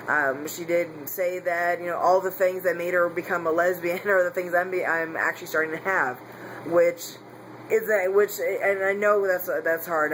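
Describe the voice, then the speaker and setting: A man speaks in a candid, confessional vlog, his voice sitting a little higher than most men's. He rambles, doubles back and corrects himself mid-sentence. A faint background hum sits under his voice. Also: fairly bright tone, low-quality recording. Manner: rambling, frequent restarts and self-corrections. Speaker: vlogger. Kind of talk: casual personal vlog.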